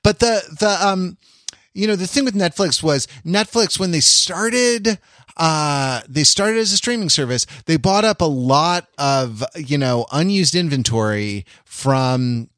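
A man's voice: a little high, animated, measured pace, fairly bright tone, no echo, studio quality. An American man in a casual pop culture podcast discussion, talking in a digressive, discursive way and often trailing off mid-thought. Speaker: podcast panelist.

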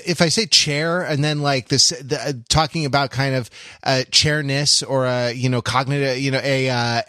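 A man co-hosting a podcast in casual analytical discussion, thinking aloud in a halting, academic way. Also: medium pitch, a bit bright, no room sound, studio quality. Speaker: podcast co-host.

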